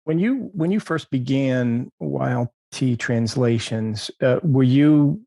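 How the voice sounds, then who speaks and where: Gravelly male podcast host. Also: mid-range voice, takes it slowly, warm tone, dry recording. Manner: stammers on question openings. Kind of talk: casual interview questions.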